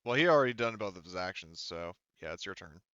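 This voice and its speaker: A man's voice: deep voice, expressive, fast, sharp tone, clean tone, no echo, highs cut off. A man talking casually and informally as game master of an online tabletop roleplaying game, thinking through the rules aloud.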